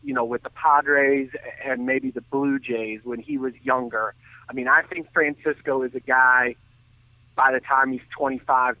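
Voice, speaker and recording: A man giving earnest, detailed off-the-cuff baseball commentary as a radio guest, often halting and restarting his sentences. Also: medium pitch, dry recording. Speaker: baseball analyst and guest on a sports radio show. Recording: thin phone-like line.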